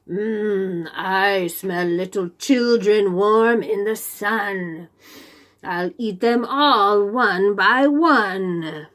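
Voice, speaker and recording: A hungry storybook giant, played by a woman reading aloud, gloats over his coming dinner. He drags out each word very slowly, relishing the thought of eating. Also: mid-range voice, a bit bright. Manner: slow, drawn-out, gloating delivery.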